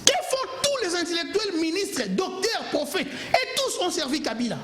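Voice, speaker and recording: A man's voice: very high-pitched, big pitch swings, normal speed, a bit bright, roomy sound, a little hiss or hum. A man from Kongo Central, arguing emphatically as a panelist in a political TV debate. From Kongo Central, DR Congo. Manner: impassioned, emphatic, pleading. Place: TV studio.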